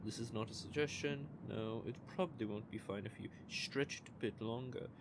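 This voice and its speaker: A man's voice: mid-range voice, normal speed, background noise. A man playing a smug, teasing male vampire who is playfully menacing, in an audio roleplay.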